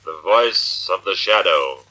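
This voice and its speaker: Casting: mysterious radio avenger, taunting and commanding, low voice with hard, forceful edge, vintage recording. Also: male voice, animated, measured pace, full character voice, low-quality recording. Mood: eerie, taunting. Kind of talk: 1940s radio drama acting. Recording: old radio drama recording.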